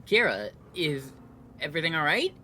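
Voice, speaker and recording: A man's voice: a little high, big pitch swings, normal speed, sharp tone, a little hiss or hum, full character voice. A man playing a concerned, apologetic, loyal team mate in an audio drama.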